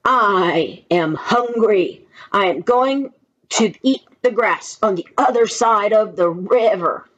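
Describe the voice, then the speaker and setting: A woman's voice: medium pitch, expressive, a bit bright, muffled recording. A woman voicing a big, fearless male billy goat in a children's story, speaking emphatically and defiantly. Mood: bold, defiant. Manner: slow, emphatic, bold.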